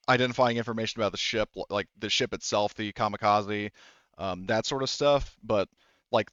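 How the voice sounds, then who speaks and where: A man's voice: fairly low pitch, fairly bright tone, no echo, dull audio. A man narrating a tabletop game as game master in a casual, rambling, explanatory way.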